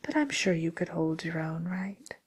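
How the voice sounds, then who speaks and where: A woman playing a polite, tactful matchmaker for villains in a whispered ASMR role play, speaking breathily with gentle, hesitant pauses. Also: on the low side, expressive, husky. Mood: tactful, gently amused.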